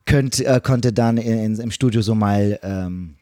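Mellow-voiced man explaining his craft. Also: on the low side, unhurried, dry recording, clean studio sound. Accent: German. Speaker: podcast guest, dubbing voice actor. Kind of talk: casual conversational explanation of the craft.

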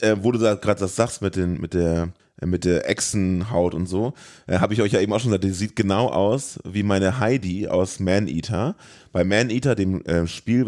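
A man with a fairly low voice chats casually as a podcast host. He rambles a little, breaking off and picking his thread up again as he talks about films. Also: darkish tone. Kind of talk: casual chat about a film.

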